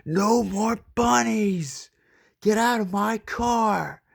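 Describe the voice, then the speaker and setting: Storybook big mean dog, acted by a male reader: gruff growl, gravel, bluster over a soft heart. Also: sits high, very slow, pitched above the performer's own voice, full character voice. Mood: exasperated.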